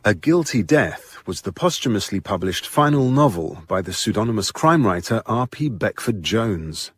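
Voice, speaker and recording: British guy doing a radio drama recap, bit husky, expressive up and down delivery. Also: fairly low pitch. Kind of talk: scripted narration.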